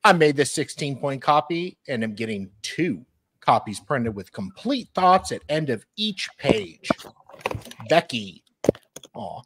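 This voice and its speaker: A man's voice: medium pitch, noisy background. A man voicing an earnest, helpful book co-author in a dramatic reading of emails.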